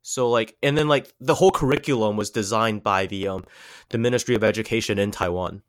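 A man's voice: lowish voice, brisk, dry recording, clean studio sound. A man in his twenties, raised in the United States, talking as a guest in a casual interview, loose and filled with "like" and "um". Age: 20s. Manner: relaxed, heavy use of "like" and "um".

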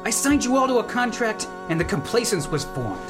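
Band manager role, female actor: high voice, fretful, sarcastic, comic radio play over music. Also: very animated, fairly quick. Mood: earnest. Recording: music under the dialogue.

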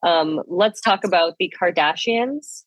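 A co-host with a somewhat low, husky voice that sounds stuffed up and nasal from a cold. She chats in an upbeat, friendly way. The audio is dry, as if it came through a video call. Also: dry recording. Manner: upbeat, chatty, quick asides. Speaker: podcast co-host. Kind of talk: casual chat.

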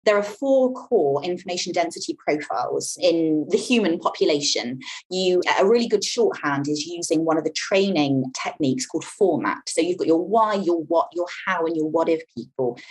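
Husky-voiced woman explaining communication. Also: lowish voice, expressive, normal speed, a little mellow, rough recording. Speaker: communication coach. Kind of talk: interview talk, explaining.

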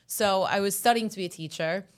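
A woman in her thirties from the USA, whose first language is Italian, talking as a podcast guest in a lively, wry, self-mocking way. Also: mid-range voice, brisk. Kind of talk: casual interview chat.

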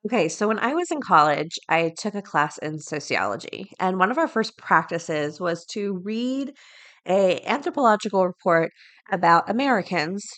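A woman with a slightly low-pitched voice hosts a solo podcast. She speaks in a casual, conversational way, moving between reading aloud and offering informal asides of her own. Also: expressive, moderate pace, a bit bright. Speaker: podcast host. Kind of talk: casual solo podcast talk with news headlines read aloud.

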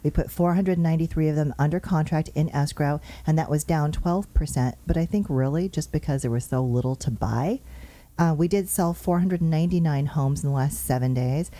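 Low, mellow-voiced woman hosting a show. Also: no echo. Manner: brisk, upbeat, reels off numbers. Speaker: show host and real estate agent. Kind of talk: chatty show monologue on market figures.

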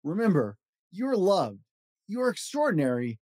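A man's voice: sits high, big pitch swings, normal speed, darkish tone, no room sound, studio quality. A man hosting a children's story podcast, reading aloud expressively with an emphatic, rhythmic delivery.

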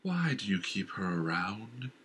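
A man voicing a scornful, disembodied voice inside a king's head, drawing his words out slowly and needling the king. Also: fairly low pitch, big pitch swings, fairly bright tone, a little hiss or hum. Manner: slow, drawn-out, needling delivery.

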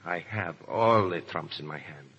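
An actor playing a cold, menacing agent of revolutionary France in 1930s radio drama, clipped and icily polite. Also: male voice, low-pitched, unhurried, fairly bright tone, breathy edge, heavily acted. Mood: coldly triumphant. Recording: muffled 1930s radio broadcast, hall reverb.

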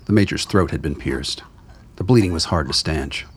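A man's voice: rumbling low, moderate pace, slightly rough. A man reading scripted dramatic narration for a radio play.

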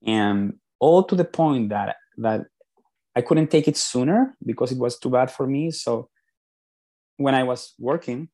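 A man's voice: mid-range voice, measured pace, mellow. A man from Peru with a Peruvian Spanish accent, a guest on a podcast, telling his story earnestly and often restarting his sentences. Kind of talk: podcast interview.

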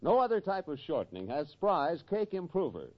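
A man announcing on 1940s radio with a polished sales pitch. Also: huge pitch swings, moderate pace. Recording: muffled vintage 1940s radio broadcast.

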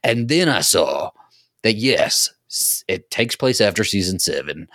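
Guy with a deep voice cohosting a fan podcast, gushing, super casual, dry sound. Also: sing-song, measured pace, fairly bright tone, no echo. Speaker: podcast co-host.

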